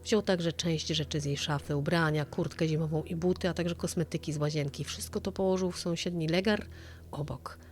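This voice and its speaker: A woman's voice: sits low, steady tone, a little fast, darkish tone. A woman narrating a true-crime story as a dramatized audio reading.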